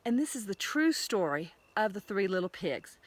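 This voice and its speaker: A woman telling a fairy tale in an animated, conversational storytelling manner. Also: medium pitch, normal speed, no room sound. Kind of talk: oral fairy tale retelling.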